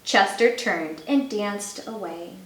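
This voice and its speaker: Slow-paced woman reading to young children. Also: mid-range voice, echoey room, rough recording. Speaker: storybook reader for kindergarteners. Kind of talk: children's story read-aloud with personal introduction.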